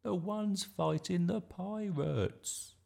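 A man's voice: sits high, takes it slowly, creaky, darkish tone, voice pushed up, heavily acted. A man voicing a stubborn, cheeky young schoolboy in a children's audiobook, blunt and insistent. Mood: eager, insistent.

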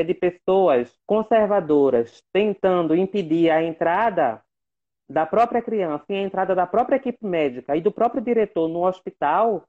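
Guest on a live, impassioned, repeats for emphasis, medium pitch, dull call audio. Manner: impassioned, repeats phrases for emphasis, many fillers. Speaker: guest, works at a state legislative assembly. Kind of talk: casual online conversation.

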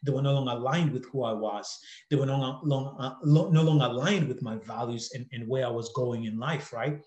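A man's voice: medium pitch, brisk, darkish tone, reverberant. A man from Zimbabwe hosting a podcast, telling his own story earnestly, with many repeated words and restarts. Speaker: podcast host. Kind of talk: personal storytelling monologue.

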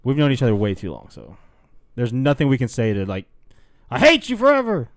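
A man's voice: medium pitch, huge pitch swings, brisk, no room sound, studio quality. A man hosting a casual podcast, joking and teasing his friends. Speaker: podcast host. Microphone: podcast studio microphone.

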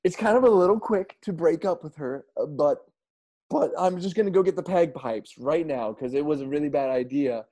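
A man's voice: a little high, moderate pace, warm tone. A man voicing a nervous, stammering young man in an improvised audio comedy. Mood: flustered. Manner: stammering, flustered.

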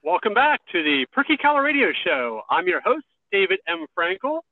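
A man's voice: high voice, sing-song, normal speed, dry recording, narrow, tinny audio. A man hosting a radio interview show, friendly and self-deprecating with his guests. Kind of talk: interview host.

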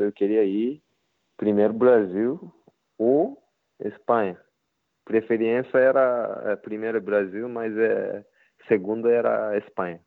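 A man whose first language is Japanese, speaking halting Portuguese with a Japanese accent and many fillers in a casual interview. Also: on the low side, creaky. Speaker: interviewed footballer. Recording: tinny phone-line sound.

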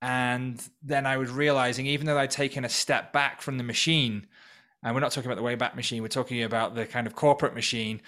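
A man's voice: medium pitch, steady tone, quick pace, bright, forceful tone. A man in his thirties from North Wales, a podcast guest telling his own story in an earnest, reflective way. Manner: earnest, reflective, open about hard past. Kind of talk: personal story in a podcast interview. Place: temporary remote location.

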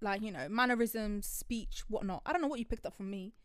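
A young woman, co-hosting a casual chat podcast, telling animated stories with lots of swearing and bursts of laughter. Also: medium pitch, fairly quick, clean tone, no echo, studio quality. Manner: animated storytelling, swears, loud laughing outbursts. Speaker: podcast co-host.

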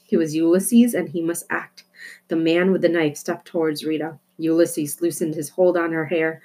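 A woman reading a children's novel aloud as an expressive storyteller. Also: sits low, normal speed. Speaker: storybook reader. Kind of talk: expressive children's book narration.

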